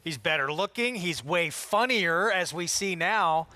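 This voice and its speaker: Bright-voiced racing show host man. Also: high-pitched, animated, a little hiss or hum. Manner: brisk, jokey. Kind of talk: race broadcast banter.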